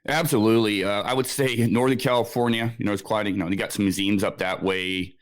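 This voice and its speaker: A man's voice: lowish voice, fairly even intonation, brisk, no room sound, clean studio sound. A man in his forties, born in Scotland, telling his story as a podcast guest, rambling with many fillers and restarts. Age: 40s. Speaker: guest researcher. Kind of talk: casual interview storytelling.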